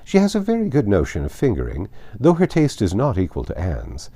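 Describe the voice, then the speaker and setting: A man's voice: on the low side, huge pitch swings, brisk, warm tone, exaggerated voice. A man voicing a haughty older aristocratic lady in a storybook reading, condescending and imperious. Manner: condescending, pronouncing.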